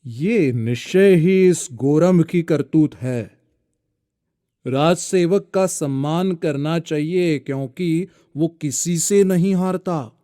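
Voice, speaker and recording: A man voicing a dignified, diplomatic townsman in an animated storybook tale, earnest and formally courteous. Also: fairly high pitch, slow pace, dry recording, studio quality. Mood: reflective. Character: dignified wealthy townsman, wronged but diplomatic.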